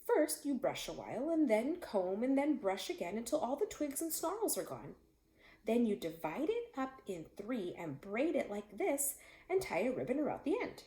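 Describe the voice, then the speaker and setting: Storybook little boy, read by a woman: polite, earnest, quick-witted, lively pitch, echoey room. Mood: helpful, instructive. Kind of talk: storybook character voice.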